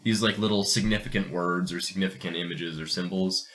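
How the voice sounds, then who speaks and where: A man with a very low voice hosts a podcast on writing. He talks informally and jokes around, and he doesn't mind swearing as he discusses what he has read. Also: steady tone, a little fast, fairly bright tone. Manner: informal, profane, jokey. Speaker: podcast host. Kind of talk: casual literary discussion and reading aloud.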